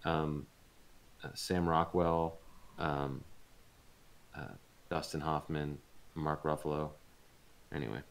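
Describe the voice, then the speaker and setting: A man speaking as an interviewed voice actor, chatting casually in a rambling, informal way and pausing to think. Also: very deep voice, steady tone, gravelly. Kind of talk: casual chat in a livestreamed interview.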